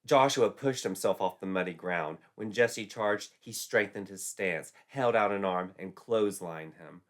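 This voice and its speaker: Guy reading a spooky folk story, lowish voice, dramatic narration. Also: normal speed, fairly bright tone. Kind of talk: dramatic scripted narration of folklore tale.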